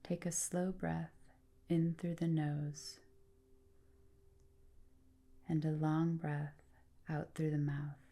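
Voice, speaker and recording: A woman with a low, mellow voice leads a guided rest practice. She speaks slowly and deliberately, leaving room between phrases. Her delivery is calm and soothing, like scripted meditation narration. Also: gravelly. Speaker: yoga nidra guide.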